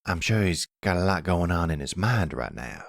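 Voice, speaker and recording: A man playing an older father and mob boss who is gentle, regretful and reassuring, in a measured, soft-spoken ASMR roleplay style. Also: low-pitched, sing-song, creaky, no room sound, studio quality, exaggerated voice. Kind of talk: intimate ASMR roleplay acting.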